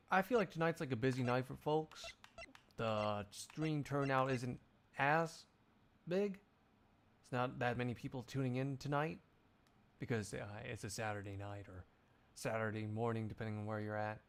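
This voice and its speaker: A man's voice: medium pitch, normal speed. A man live streaming, chatting casually and hesitantly as he thinks aloud. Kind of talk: casual chat.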